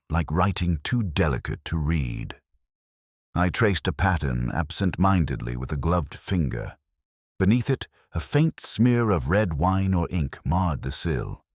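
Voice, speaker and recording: A man reading a first-person literary detective mystery in a measured, reflective, melancholy way. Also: very deep voice, no room sound, clean studio sound.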